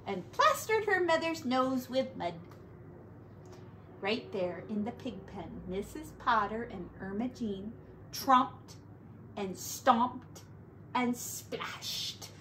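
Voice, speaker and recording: Woman, teacher, high voice, slow pace, expressive children's read-aloud, drawn-out words, faint background noise. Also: poor audio. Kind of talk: read-aloud and sing-song play for young children.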